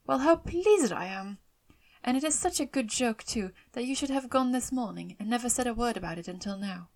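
A woman voicing a fretful, self-pitying, excitable middle-aged mother in an audiobook of a classic novel, with emphatic, complaining delivery. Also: medium pitch, fairly quick. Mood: overjoyed.

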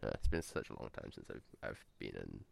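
A man's voice: a bass voice, big pitch swings, brisk, vocal fry, darkish tone. A young man from New Zealand with a New Zealand accent, chatting casually and rambling through a vlog with self-corrections.

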